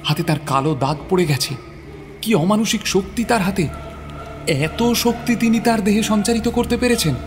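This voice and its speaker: A man's voice: high-pitched, animated, normal speed. Theatrical male narrator over prominent music. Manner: theatrical, suspenseful. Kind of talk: dramatic scripted narration of a horror story.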